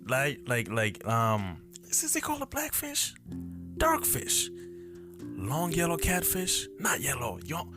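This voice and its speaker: A man's voice: on the high side, wildly swooping pitch, takes it slowly, over music. A man in his twenties hosting his own podcast, telling childhood memories in a rambling, self-correcting way as he thinks aloud. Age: 20s. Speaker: podcast host. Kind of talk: casual solo storytelling. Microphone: camera mic.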